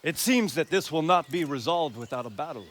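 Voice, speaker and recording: A man's voice: on the high side, expressive, fairly quick, fairly bright tone, a little hiss or hum. A man playing a resolute, sober medieval lord in a video game cutscene. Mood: serious. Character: resolute, sober medieval lord commanding his castle's men.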